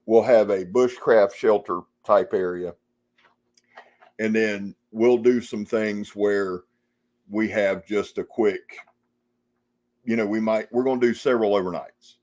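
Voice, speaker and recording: A man from the Jacksonville area hosts an outdoor channel's live stream, and his voice sits low and mellow. He rambles in a relaxed, folksy way, greets viewers by name and swears casually as he talks. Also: takes it slowly, long pauses. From Jacksonville, Florida area.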